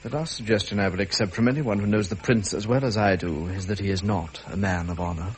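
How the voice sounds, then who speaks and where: An actor playing a loyal gentleman courtier, earnest and formal, with an upper-class English accent. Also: fairly low pitch, fast talker, slight background noise, lightly acted. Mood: stiffly reproving. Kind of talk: 1970s British period drama acting.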